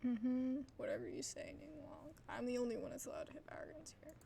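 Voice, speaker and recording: A woman's voice: high-pitched, fast talker, gravelly, warm tone, whispered. A woman hosting a gaming video with casual playthrough commentary, informal and wry, often trailing off into muttered asides.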